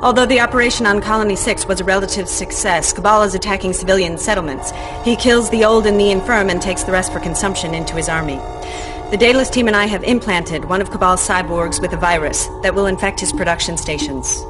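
A woman acting as a middle-aged research scientist in a video game. She is earnest, brisk and articulate, at a medium pitch, with music behind her. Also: close to an everyday voice, poor audio. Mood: serious. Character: serious, brisk female military research scientist doctor. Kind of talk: dramatic briefing in game cutscene.

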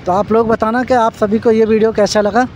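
Man with a high, flat voice vlogging outdoors. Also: fairly quick, slight background noise. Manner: repetitive, addresses viewers as friends, points things out. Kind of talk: casual walking construction-site vlog. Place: outdoors beside a busy road and flyover construction.

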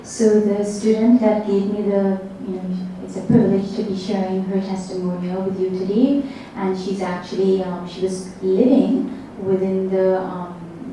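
A woman with a Trinidadian accent, a meditation teacher speaking on a panel in a soft, reflective way with many pauses. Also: mid-range voice, little pitch movement. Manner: soft-spoken, reflective, halting with fillers. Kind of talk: panel talk. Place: conference room with a panel table. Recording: very echoey room, distant mic, faint hiss.

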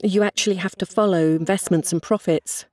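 An older woman who runs a restaurant speaks in a measured, reflective way. She is recorded on a lapel mic in a wood-panelled dining room. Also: on the low side, steady tone, darkish tone. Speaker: restaurant owner. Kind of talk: interview. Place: indoor wooden restaurant.

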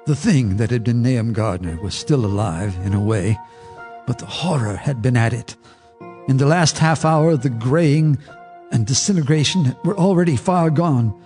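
Brief: man narrating a horror radio play, measured and grave, mid pitch, faint music under. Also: expressive, a little mellow. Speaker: narrator, first-person character.

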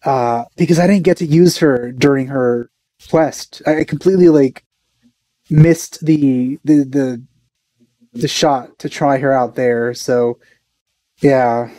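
A man's voice: medium pitch, steady tone, slow, dark tone, no room sound, rough recording. A young man giving casual, understated reaction commentary as a video host, musing and hesitant as he goes. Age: young adult.

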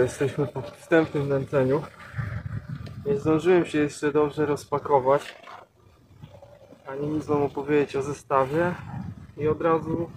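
Young man, outdoor fishing chat, relaxed tone, wind and nature noise behind. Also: on the high side, low-quality recording. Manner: relaxed, chatty, explains gear step by step. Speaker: angler vlogger. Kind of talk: casual fishing commentary. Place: outdoors by a canal bank.

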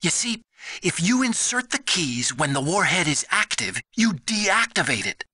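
An actor playing an eager young technical adviser in a video game, explaining things earnestly. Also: male voice, high-pitched, sing-song, a little slow, sharp tone, breathy edge, dry recording, clean studio sound, full character voice. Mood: earnest, urgent. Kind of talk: expository video game voice acting.

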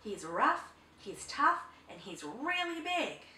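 A woman voicing a jumpy, wide-eyed little storybook frog, exclaiming and croaking. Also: sits high, very animated, slow, pushed and bright, roomy sound, far from the mic, pitched above the performer's own voice, full character voice. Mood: awed.

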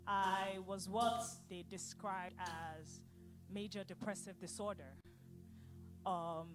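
A young woman from Maryland giving a personal testimony into a handheld mic among a church congregation, her quiet talk rising to loud declaring. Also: medium pitch, steady tone, slow. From Maryland, United States. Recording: echoey hall with loud music.

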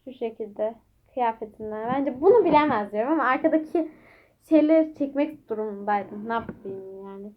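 A woman's voice: fairly high pitch, animated, moderate pace, narrow, tinny audio. A woman hosting a playful guessing game, chatting casually with viewers and a child in a coaxing, teasing way. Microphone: phone held by the speaker. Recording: noisy home phone recording with clicks.

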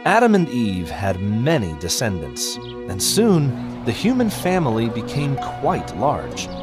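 A man narrating a children's Bible story from a script, in a measured, expressive way with careful phrasing. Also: mid-range voice. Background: music.

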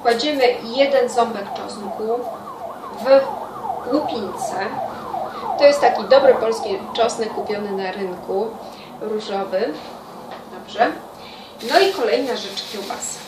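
A middle-aged woman explaining a recipe to camera informally, in a halting way with pauses mid-sentence. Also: a little high. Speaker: home cooking show host. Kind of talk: informal cooking tutorial to camera. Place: small home kitchen by a window, indoors. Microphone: camera on counter a step away. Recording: distant mic, echoey room, loud kitchen noise.

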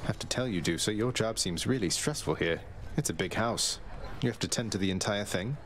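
A man's voice: lowish voice, a little fast, fairly bright tone, a little hiss or hum, natural voice. A man voicing a young, courteous underworld prince in a video game, polite and dry. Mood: apologetic, kind. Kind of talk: video game character acting.

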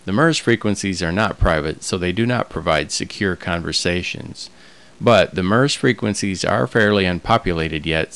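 A man reading a scripted promotional narration in a measured, informative way. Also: low voice, a bit bright, clear, resonant tone. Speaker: product video narrator.

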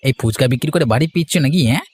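A man's voice: a little high, lively intonation, very fast, a little mellow, slightly rough. A man playing a hesitant farmer in a cartoon story. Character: hesitant farmer friend seeking help. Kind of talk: cartoon moral-story dialogue.

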